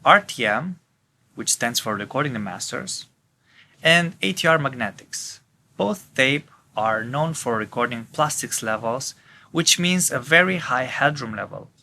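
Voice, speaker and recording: A male instructor delivers scripted technical narration in a methodical, formal manner. His voice sits at a middle pitch and has a bright, forward edge. Some background noise is audible on the recording. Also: animated, unhurried, vocal fry.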